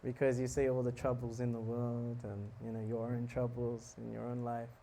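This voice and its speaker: A man giving a relaxed, joking talk on scripture to a live audience, often asking them questions. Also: mid-range voice, moderate pace, slight background noise. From South Africa. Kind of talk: casual sermon to a live audience.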